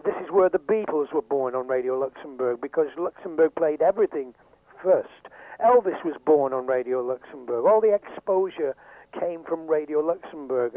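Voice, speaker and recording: An older man, a veteran radio DJ, telling his career story in lively, chatty showman patter. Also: a little high, dry recording. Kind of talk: casual interview storytelling. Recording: dry tinny phone line.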